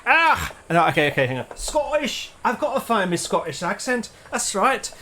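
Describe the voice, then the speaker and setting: Animated man running a tabletop game. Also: high-pitched, normal speed. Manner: animated, hesitant, laughs often. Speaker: game master.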